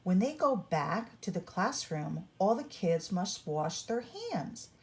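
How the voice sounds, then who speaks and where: A woman reading a children's picture book aloud as a storyteller, with deliberate, instructive read-along phrasing for young listeners. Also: fairly low pitch, lively intonation.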